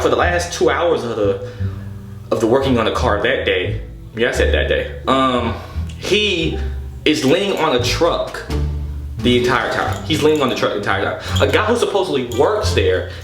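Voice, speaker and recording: A man's voice: mid-range voice, very animated, moderate pace. A young man telling a personal story casually, in an exasperated, slangy, profane way. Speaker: storytelling vlogger. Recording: echoey room, loud background music. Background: background music.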